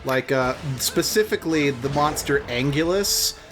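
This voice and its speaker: A man's voice: on the high side, a little slow, fairly bright tone, soft background music. A man giving casual let's play commentary, explaining trivia and opinions at length. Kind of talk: casual gaming commentary chat.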